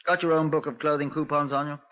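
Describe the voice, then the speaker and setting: An actor playing a brisk, methodical British police inspector in a 1950s radio drama, with a clipped, formal, measured delivery. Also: male voice, fairly high pitch, little pitch movement, no echo, natural voice. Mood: brisk, probing. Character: brisk, methodical male Scotland Yard inspector. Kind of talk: 1950s radio drama acting, narration and dialogue. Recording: dull, lo-fi 1950s radio recording.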